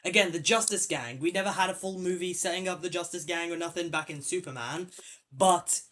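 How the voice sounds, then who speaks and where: A man's voice: high voice, fairly quick, bright, forceful tone, a little hiss or hum. A man hosting a casual film-talk podcast, rambling with lots of fillers and restarts. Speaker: podcast host.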